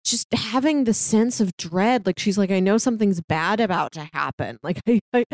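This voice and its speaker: A woman's voice: medium pitch, moderate pace, a little mellow, dry recording, clean studio sound. A woman chatting casually about a film as a podcast co-host.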